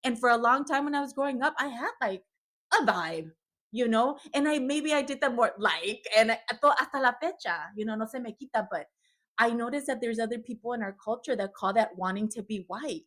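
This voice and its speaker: A woman who grew up in California, hosting a casual podcast chat, talking warmly and switching into Spanish now and then. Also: fairly high pitch, animated, brisk. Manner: chatty, laughs often, switches into Spanish. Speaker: host.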